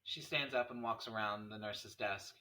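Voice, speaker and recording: A man's voice: fairly low pitch, steady tone, moderate pace, pushed and bright. A man reading stage directions aloud as narrator of a screenplay table read.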